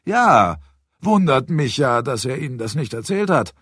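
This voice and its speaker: A man's voice: medium pitch, very animated, a little slow, fairly bright tone, breathy edge, no room sound, pitched above the performer's own voice, full character voice. A man acts out a genteel, talkative male patient from an audiobook. His voice is raised and emphatic, with polite self-assurance and an eager manner, recorded very dry. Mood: surprised, matter-of-fact. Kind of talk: audiobook character dialogue.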